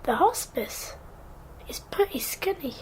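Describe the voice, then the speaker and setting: A woman's voice: sits high, expressive, slow, a little mellow, in a whisper. A performer voicing an earnest, stubborn, dreamy young boy in a radio drama, naive and insistent, with a North East English accent. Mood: subdued, questioning. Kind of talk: naturalistic 1990s radio drama acting.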